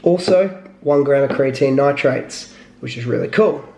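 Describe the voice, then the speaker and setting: A man with a slightly hoarse voice, talking casually to the camera as a fitness vlogger, rambling with lots of fillers. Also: mid-range voice, normal speed, echoey room, background noise. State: hoarse from illness.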